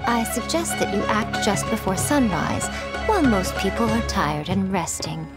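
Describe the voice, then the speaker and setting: A woman's voice: mid-range voice, sing-song, moderate pace, close to an everyday voice. Woman acting a sly, poised lady over music. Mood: sly, intrigued. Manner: smooth-worded, coy, condescendingly affectionate. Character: poised, teasing, sly elegant adult woman mentor. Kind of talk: video game character acting. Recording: loud music behind the voice.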